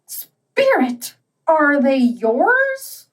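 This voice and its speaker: A woman's voice: high-pitched, very animated, drawn-out words, far from the mic, voice pushed up, heavily acted. A woman voicing an awed, uneasy old man in a storybook reading, asking questions in an earnest, hesitant manner. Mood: appalled.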